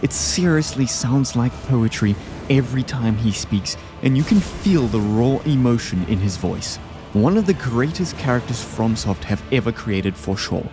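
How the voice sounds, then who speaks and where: A man's voice: mid-range voice, expressive, moderate pace. A man giving enthusiastic, scripted video essay commentary, ranking game bosses. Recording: game music behind the voice. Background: music.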